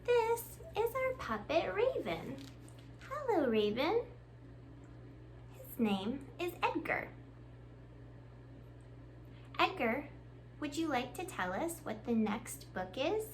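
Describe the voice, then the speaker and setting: Children's storytime reader: woman, high and lively pitch, expressive delivery, addressing little ones, slight noise behind. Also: slow. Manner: expressive, addressing young children with simple questions and praise. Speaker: children's librarian, storytime host. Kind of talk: children's storytime read-aloud.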